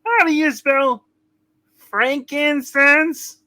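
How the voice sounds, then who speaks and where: A curious small child in a comic radio play, put on by a woman. The voice is high and bright, speaking very slowly and haltingly. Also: no room sound, heavily acted. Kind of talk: live comedic radio play reading.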